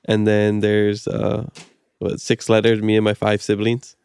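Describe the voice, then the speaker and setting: Hawaiian-speaking guy hosting a podcast, kinda low smooth voice, keeps saying like and you know. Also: monotone, unhurried, darkish tone, no room sound, studio quality. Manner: loose and rambling, full of "like" and "you know". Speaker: podcast host. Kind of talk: casual chat.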